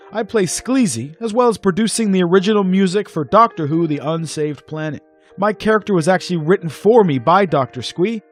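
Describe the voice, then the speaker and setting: A man from a cast reading scripted behind-the-scenes notes. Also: high-pitched. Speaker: cast member and composer.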